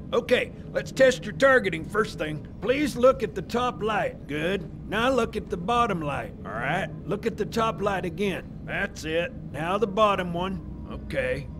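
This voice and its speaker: An actor playing a gruff, fussy older male military armorer in a video game cutscene, brisk and instructive. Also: high-pitched, background noise. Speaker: weapons and armor technician.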